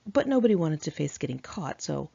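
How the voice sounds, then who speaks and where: A woman narrates her own memoir with a low, gravelly fry in her voice. She is candid and sassy, talking as if chatting to friends. The tone is dark and mellow, caught on a slightly dull home recording. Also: big pitch swings, brisk. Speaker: author reading own memoir aloud.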